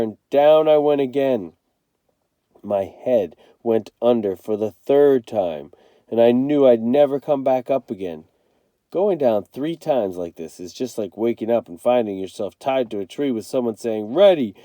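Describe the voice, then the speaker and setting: Dark, mellow-voiced man reading a story aloud. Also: medium pitch, unhurried, no room sound. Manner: dramatic, acts out the dialogue. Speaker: read-aloud reader, teacher. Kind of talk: expressive storybook read-aloud.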